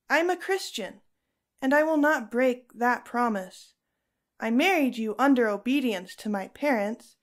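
A woman's voice: a little high, lightly acted. An actress playing a devout, resolute young Roman noblewoman in an audio drama, speaking earnestly and firmly. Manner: earnest, firm.

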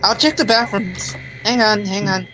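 A performer voicing an excitable, scolding animatronic in an improvised game roleplay. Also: medium pitch, normal speed, soft background music, lightly acted, poor audio. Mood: eager.

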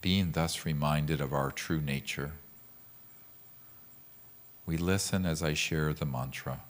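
A man leading a guided meditation as a teacher, speaking in a calm, gentle, measured way with pauses. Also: a bass voice. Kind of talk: guided meditation with mantra instruction.

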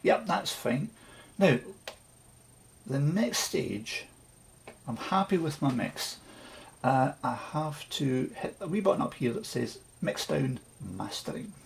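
An older man, slowly explaining home recording steps in a small home studio. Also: a little high, sing-song, vocal fry, soft background music. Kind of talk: casual tutorial.

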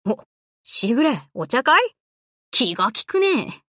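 Tomboyish young anime game girl, high bright voice, cocky, slangy, swinging intonation. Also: big pitch swings, unhurried, no room sound, studio quality, heavily acted. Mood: cheerful. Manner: rough boyish slang, cocky and casual. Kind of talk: Japanese anime game voice lines.

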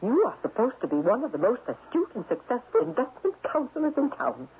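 An actress playing an imperious, wealthy widow in a radio drama, sharp and unyielding, with precise, haughty, formal diction. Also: female voice, a little high, wildly swooping pitch, fairly quick, husky, exaggerated voice. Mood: coolly accusing. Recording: muffled lo-fi old radio broadcast.

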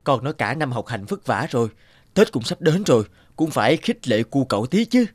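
A man's voice: mid-range voice, very animated, fairly quick, dry recording, clean studio sound, faint music behind. A man voicing a friendly middle-aged father and neighbour in a cartoon. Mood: cheerful, kindly. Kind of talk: animated cartoon dialogue.

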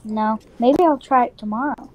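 A woman's voice: a little high, normal speed, soft background music, close to an everyday voice. A woman playing a chatty young schoolboy, talking simply and matter-of-factly in a game roleplay. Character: young schoolboy, chatty dinosaur-loving twin. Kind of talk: improvised voiced game roleplay. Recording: noisy home mic with room ambience.

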